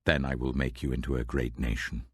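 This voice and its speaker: A man's voice: rumbling low, little pitch movement, a little fast, slightly rough, no echo, studio quality, voice pushed down. A man voicing a stern, wrathful God in a dramatic scripture reading, grave and commanding. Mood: stern anger. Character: the Lord God, stern, wrathful male deity.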